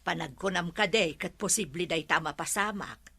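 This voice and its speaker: Casting: inquisitive radio-drama companion, somewhat low female voice. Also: moderate pace, creaky, pushed and bright, husky, full character voice. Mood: curious. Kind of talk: Ilocano radio drama acting.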